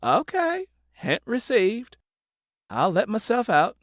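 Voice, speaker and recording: Man putting on a high-pitched gossipy woman neighbor. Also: wildly swooping pitch, slow pace, fairly bright tone, no room sound, studio quality, exaggerated voice. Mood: breezy. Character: nosy, giggly, good-natured gossiping woman neighbor.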